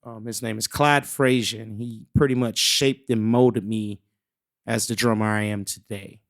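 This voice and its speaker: A young man from South Carolina, telling his story in a relaxed, rambling way as a guest on a casual interview show. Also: lowish voice, moderate pace. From South Carolina, USA. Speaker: guest musician.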